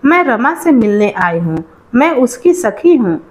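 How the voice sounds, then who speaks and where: Woman acting uneasy, hesitant village wife, fairly high. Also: very animated, a little slow, darkish tone. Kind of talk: storybook dialogue.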